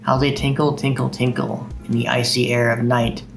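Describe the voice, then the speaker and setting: A man hosts a poetry reading video in a mid-pitched voice. There is a noticeable creak and fry to his speech. Faint music plays behind him. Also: level tone, moderate pace. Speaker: video host reading poems.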